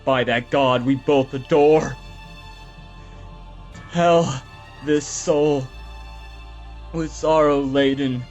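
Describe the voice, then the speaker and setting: A man's voice: medium pitch, fairly even intonation, drawn-out words, long pauses, a little mellow. An actor playing an anguished, grieving man who declaims gothic verse at a raven in a theatrical, impassioned manner. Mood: desperate. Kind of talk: dramatic poetry reading. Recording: slightly dull lo-fi sound, music behind.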